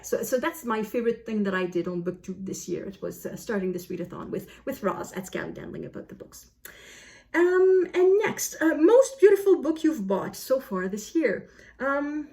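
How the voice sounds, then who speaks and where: A woman book vlogger chatting casually to camera in an animated, self-deprecating way. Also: a little high, moderate pace.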